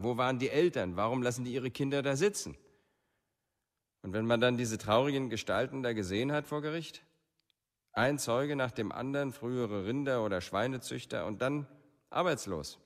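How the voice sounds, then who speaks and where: Indignant prosecutor, lively intonation. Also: male voice, medium pitch. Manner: articulate, reproachful. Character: indignant, articulate prosecutor commenting on the case. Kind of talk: documentary radio play monologue. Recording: long hall reverberation.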